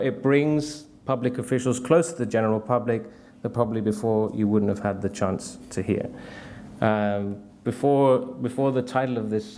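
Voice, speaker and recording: A man's voice: on the low side, darkish tone, slight background noise. A man from Cyprus delivering a conference speech in a casual way, with many fillers. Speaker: conference speaker, community media director. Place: conference hall.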